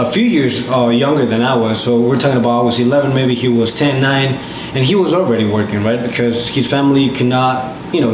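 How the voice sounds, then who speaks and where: A man in his twenties from Peru, a podcast guest telling his story casually with many fillers and restarts. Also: medium pitch. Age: 20s. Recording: echoey room with loud background noise.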